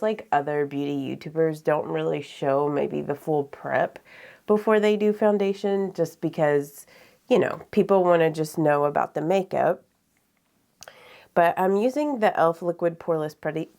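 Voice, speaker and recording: A young woman with a stuffy, nasal head cold talks through her makeup in a rambling way, full of fillers. Her voice sits low and has a gravelly fry to it. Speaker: beauty vlogger. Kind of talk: casual get-ready-with-me chat.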